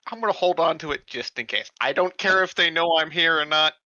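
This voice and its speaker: A man's voice: a little high, brisk, pushed and bright, dry recording, lo-fi sound. A man chatting casually as a player in a tabletop game, with a flippant, offhand manner.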